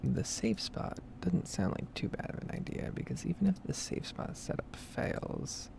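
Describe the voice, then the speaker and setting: A woman speaking in a soft, breathy whisper, rambling casually in an ASMR gameplay style, close to the mic with typing and clicking behind her. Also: fairly low pitch, lively intonation, moderate pace, background noise. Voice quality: breathy, soft-spoken whisper with heavy vocal fry. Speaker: gaming streamer and ASMR creator.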